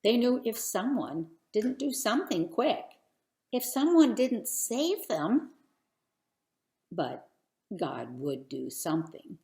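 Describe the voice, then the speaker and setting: A woman telling a children's bedtime story in an expressive, theatrical and deliberate way. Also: medium pitch, echoey room. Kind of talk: dramatic bedtime story narration.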